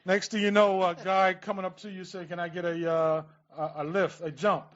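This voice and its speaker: A man hosting casual political talk radio, repeating words haltingly as he forms his thoughts. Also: high-pitched, level tone, muffled recording. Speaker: radio show host.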